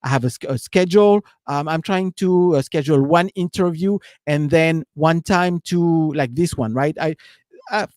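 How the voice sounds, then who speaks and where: A man's voice: fairly high pitch, moderate pace, a little mellow, no room sound, clean studio sound. Rambling man with a French accent. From France. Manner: rambling, leans on "you know" and "right?". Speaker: business coach giving a live stream. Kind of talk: casual live-stream talk.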